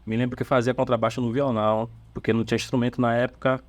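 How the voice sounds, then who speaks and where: A man from Salvador with a Bahian accent, a church band's bassist, chatting casually on a podcast in an easygoing, halting storytelling way with fillers and restarts. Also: flat delivery, dry recording. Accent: Bahian Portuguese. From Salvador, Brazil. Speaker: bassist of a church worship band, podcast guest.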